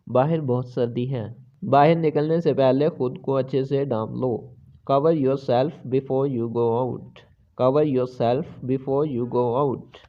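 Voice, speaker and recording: A man teaching English phrases, saying each one slowly twice between the Hindi versions. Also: mid-range voice, highs cut off. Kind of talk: slow repeated phrase drills.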